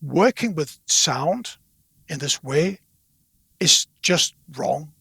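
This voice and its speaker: Man, slightly high voice, husky, casual interview guest, halting delivery with repeated words, dry close sound. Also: expressive, bright, forceful tone, no echo. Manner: halting, repeats words while thinking aloud. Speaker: podcast guest from a loudspeaker company.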